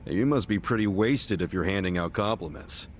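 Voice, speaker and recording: A man's voice: deep voice, animated, moderate pace, slight background noise. An actor with an American accent playing an earnest, easygoing, streetwise man in a video game, talking casually and bluntly. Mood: curious, teasing. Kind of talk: dubbed video game cutscene acting.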